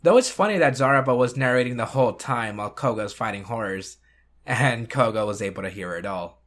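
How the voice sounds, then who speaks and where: A man's voice: mid-range voice, expressive, fairly quick, a bit bright. A man hosting a retrospective video, reading scripted recap and review narration.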